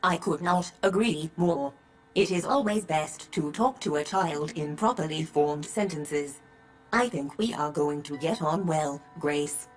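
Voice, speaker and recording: Low-voiced, formal robot nanny. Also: female voice, soft background music, poor audio. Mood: friendly, measured. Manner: formal, precise, measured phrasing. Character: polite, devoted female robot nanny. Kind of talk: radio drama acting.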